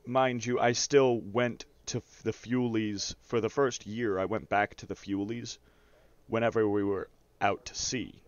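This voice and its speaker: Low-voiced man telling casual stories, slightly dull audio. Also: fairly even intonation, unhurried, no room sound. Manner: rambling, profane, often chuckles while talking. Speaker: streamer telling personal stories while gaming. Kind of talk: casual anecdotal storytelling over gameplay.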